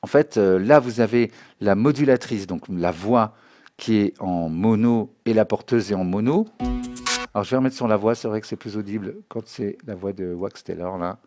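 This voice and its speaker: Low voiced guy doing an informal music software tutorial, explains step by step, synth music playing behind him. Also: normal speed. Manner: didactic, often checking understanding. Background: synthesizer and music demo sounds.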